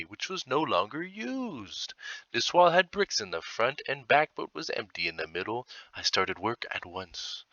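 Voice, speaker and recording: A man reading a horror story as a tormented murderer's confession, in a theatrical, heavily emphatic manner with dramatic pauses. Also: fairly low pitch, sharp tone, no echo, highs cut off. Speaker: storybook reader. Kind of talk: dramatic first-person narration of a horror story.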